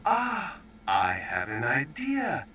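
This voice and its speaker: Very slow, swooping smug villain. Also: male voice, medium pitch, huge pitch swings, pushed and bright, far from the mic, a little hiss or hum, exaggerated voice. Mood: smug gloating. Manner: drawn-out, theatrical. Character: older male villain, smugly gloating. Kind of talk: video game villain acting.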